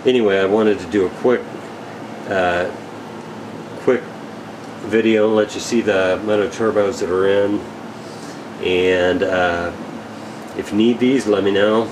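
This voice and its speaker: A man giving a casual, unscripted product update as an equipment dealer, in a rambling, halting, matter-of-fact way. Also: on the low side, steady tone, background noise.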